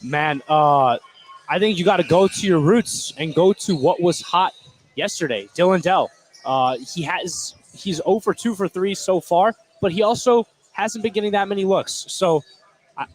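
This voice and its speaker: A man's voice: sits high, normal speed, a little hiss or hum. A man working as a color commentator, giving informal, opinionated analysis during a live basketball broadcast.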